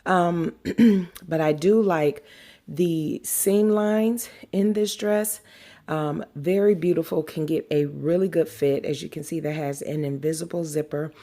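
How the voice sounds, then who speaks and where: A woman with a low, deep speaking voice talks to her sewing channel in a relaxed, chatty way. A cold leaves her sounding a bit nasal. She lingers on words she loves and fills gaps with ums and uhs. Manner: chatty, unhurried, drawn-out emphasis, many fillers. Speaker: sewing vlogger. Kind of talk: casual chat to camera.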